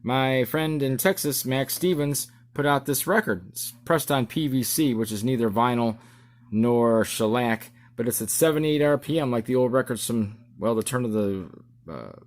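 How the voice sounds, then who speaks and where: A middle-aged man talks casually, presenting something of his own as an amateur musician. His pitch sits in the middle, and his delivery rambles and halts as he goes. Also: moderate pace. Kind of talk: casual show-and-tell vlog.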